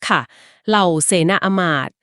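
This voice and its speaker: A woman co-hosting a podcast, retelling an old legend conversationally and explaining it at length, with polite particles and short filler pauses. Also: a bit bright, no room sound, studio quality. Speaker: podcast co-host. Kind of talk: conversational storytelling of a folk legend.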